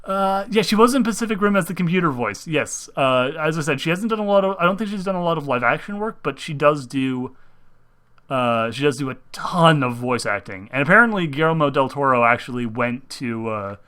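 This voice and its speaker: A young man hosting a casual chat about video games, rambling and excitable, restarting his sentences often. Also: fairly high pitch, fairly quick, clean tone. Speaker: host.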